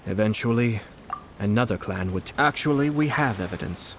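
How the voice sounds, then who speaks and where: An actor playing a composed, shrewd young noble commissioner in a video game, speaking in a measured, formal way. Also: male voice, lowish voice, slight background noise, lightly acted. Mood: calm, analytical. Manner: measured, formal, calmly calculating. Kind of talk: English video game voice acting. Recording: music under the dialogue.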